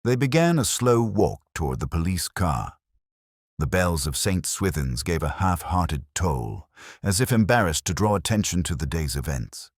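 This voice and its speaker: Guy narrating a detective story, super low voice, measured but expressive, dry studio-like sound. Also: husky, dry recording. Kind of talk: scripted mystery story narration.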